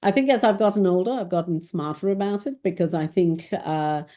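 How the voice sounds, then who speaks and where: A woman's voice: fairly low pitch, a little fast, mellow. A middle-aged woman from Malaysia speaking as a tech executive guest, thinking aloud and often tagging her points with "right?". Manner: thoughtful, tags points with "right?". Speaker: CIO guest. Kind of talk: reflective interview talk.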